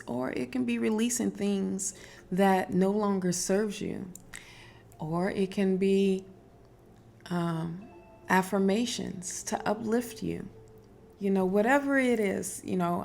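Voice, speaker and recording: A woman talks through spiritual advice at a slow, easy pace, circling back to her points with fillers and restarts. Her voice is strong and loud, sitting at a middle pitch for a woman. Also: creaky, a little hiss or hum. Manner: unhurried, repetitive, emphatic, with fillers and restarts. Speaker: spiritual wellness host. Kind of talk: casual spiritual advice talk.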